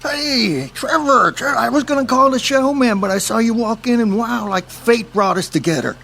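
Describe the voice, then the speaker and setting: An actor playing an excitable, earnest monster-obsessed man who rambles eagerly in a folksy, colloquial way in an audio drama. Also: very high voice, normal speed, vocal fry, full character voice. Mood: eager, insistent.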